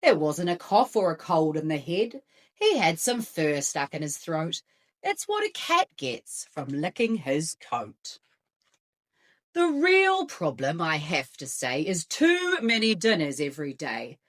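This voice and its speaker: A woman voicing a sensible, gently scolding father in a picture-book read-aloud. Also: deep voice, sing-song, a little slow, voice pushed down, full character voice. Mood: explaining.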